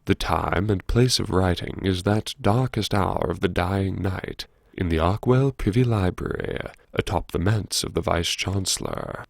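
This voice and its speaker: A man reads dramatic first-person fiction in an unhurried, deliberate way. His voice is creaky and wry, with a brooding manner, and it is recorded very dry and close. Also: sits low, no room sound. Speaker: audiobook narrator.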